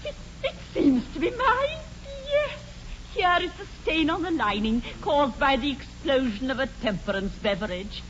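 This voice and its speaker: An actress playing a prim, proper older English governess in a 1940s radio comedy, prissy and formal yet emotional. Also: female voice, sits high, big pitch swings, moderate pace. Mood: flustered delight. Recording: muffled, noisy 1940s radio broadcast.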